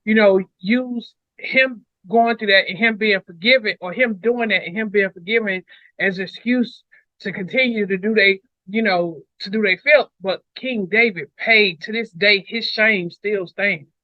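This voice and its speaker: A man's voice: high voice, measured pace, dry recording, muffled recording. A man talking casually through scripture as a co-host, emphatic and repeating phrases for weight. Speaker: co-host of a Bible read-along discussion.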